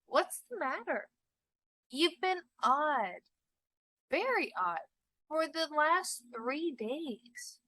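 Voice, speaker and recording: Storybook husband, a well-meaning clerk, insistent and exasperated, bright and high-pitched, voiced by a woman narrator. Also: very animated, drawn-out words, pauses often, no room sound, exaggerated voice. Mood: puzzled.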